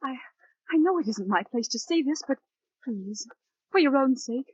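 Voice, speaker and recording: An actress playing a frightened, earnest schoolgirl, urgent and halting, in 1940s radio style. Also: high voice, animated, breathy edge, highs cut off. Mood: urgent, hushed. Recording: thin, dry 1940s radio recording.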